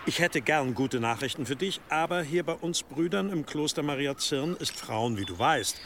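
An actor in a German radio drama playing an authoritative, evasive abbot with a formal, unctuous and measured manner. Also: male voice, a little high, lively intonation, a bit bright, slight background noise. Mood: pious, courteous.

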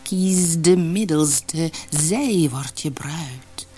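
A woman voicing a swarm of helpful bees, chanting advice in drawn-out words for a fairy tale. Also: deep voice, mellow, soft background music. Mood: insistent.

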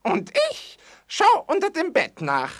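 A man's voice: very high voice, huge pitch swings, takes it slowly, fairly bright tone, full character voice. A man voicing an eager, good-natured crocodile in a children's audio play. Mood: eager, matter-of-fact.

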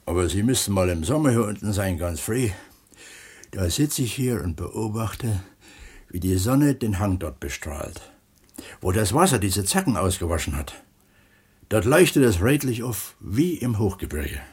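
Radio drama, male: plain-spoken pump man, rough-edged voice, very lively intonation. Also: on the low side, exaggerated voice. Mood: dreamy. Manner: unhurried, down-to-earth, colloquial. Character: plain-spoken, contented male mine pump attendant who writes. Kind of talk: 1970s East German radio play acting.

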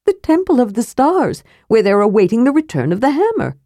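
A woman voicing a small, cheeky male kender who fibs excitedly, chirpy and bouncy. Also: sits high, unhurried, no room sound, clean studio sound, voice pushed up. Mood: playful.

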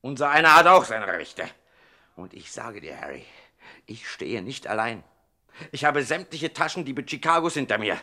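Blustering, bright-voiced gangster pickpocket. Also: male voice, mid-range voice, sing-song, normal speed. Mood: defiant. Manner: blustering, emphatic, repeats himself. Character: indignant, grumbling small-time pickpocket gangster, proud, quarrelsome. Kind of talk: 1960s German radio comedy acting.

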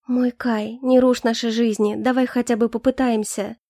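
A woman reading the lines of a proud, emotional young queen in an audiobook, earnest and pleading, quick to flare up. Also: on the high side, little pitch movement, mellow, no room sound, clean studio sound.

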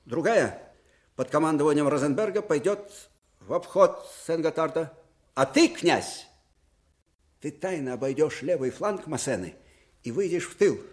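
Casting: elderly, shrewd commander for radio drama, fairly high male voice, fervent, abrupt, theatrical. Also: expressive, unhurried, pauses often. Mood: resolute. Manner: theatrical, emphatic, abrupt shifts from outburst to hushed confiding. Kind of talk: Soviet radio drama acting.

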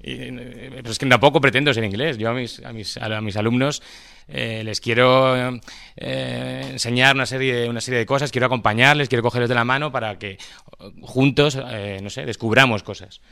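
A man's voice: mid-range voice, fairly even intonation, moderate pace, creaky, pushed and bright, no room sound, studio quality. A man with a Madrid Spanish accent, a schoolteacher speaking off the cuff at a public debate, feeling his way through his points with frequent tag questions. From Madrid, Spain. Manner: hesitant, searching for words, frequent tag questions. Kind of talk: impromptu speech at a public debate.

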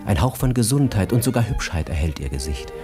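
An actor as narrator of a German radio drama, telling the story in a measured, intimate, expressive literary style. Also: male voice, lowish voice, over music. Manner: measured, expressive, savouring details.

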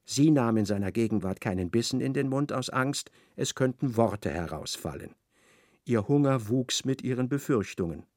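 A man narrating a literary radio play in a measured, precise storytelling manner. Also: mid-range voice, expressive, darkish tone, no echo, studio quality.

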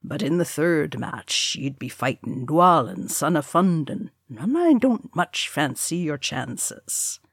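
A woman's voice: low voice, sing-song, husky, no room sound, clean studio sound, heavily acted. Woman doing a sly, folksy old dwarf innkeeper. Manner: wily, folksy, drawn-out dialect. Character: sly old male dwarf tavern keeper and bookmaker.

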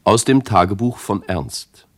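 An actor reading as a sober, factual male eyewitness in a radio play, with a husky voice. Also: on the low side, expressive, measured pace. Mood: sober, gripped. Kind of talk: scripted first-person eyewitness narration.

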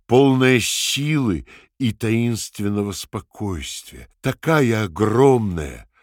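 A man's voice: lowish voice, no echo, clean studio sound. A man narrating an audio drama from a script.

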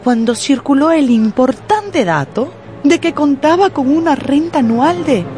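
A woman narrating a scripted radio drama as storyteller, playfully, with dramatic pauses. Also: high voice, expressive, warm tone.

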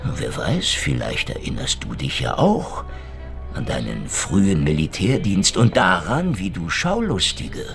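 An actor playing a cynical, streetwise Soviet secret police investigator in a German radio crime drama, sardonic, insistent and swaggering. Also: male voice, low voice, wildly swooping pitch, measured pace, gravelly, fairly bright tone, soft background music, heavily acted. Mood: needling, swaggering.

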